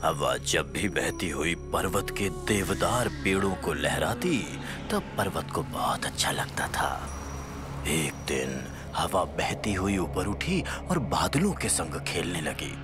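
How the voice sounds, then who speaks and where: Old wise bear telling a legend, deep slow half whisper, loud music behind. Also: male voice, sing-song, full character voice. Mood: mysterious. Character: old wise male sloth bear elder, storyteller. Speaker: storyteller. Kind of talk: dubbed cartoon voice acting. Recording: studio voice over loud music.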